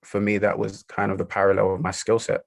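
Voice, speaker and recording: A man's voice: fairly low pitch, monotone, fast, vocal fry, no room sound. A young man in his twenties, a podcast guest, talking about his career with frequent hesitations. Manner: hesitant, lots of ums. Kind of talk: podcast interview.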